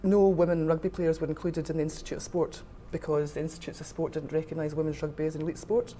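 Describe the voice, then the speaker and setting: A woman's voice: low-pitched, little pitch movement, fast talker, warm tone, a little hiss or hum. A middle-aged woman from the west coast of Scotland with a west of Scotland accent, speaking candidly and with firm opinions in an informal interview. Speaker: interviewee, rugby official.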